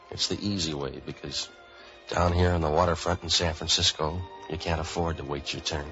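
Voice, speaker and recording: Deep-voiced, deadpan waterfront tough guy. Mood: cynical, dry. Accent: American. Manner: deadpan, wisecracking, hard-boiled. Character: street-wise, cynical waterfront boat-for-hire man. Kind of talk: 1940s radio noir acting and narration. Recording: dull vintage radio recording, faint music.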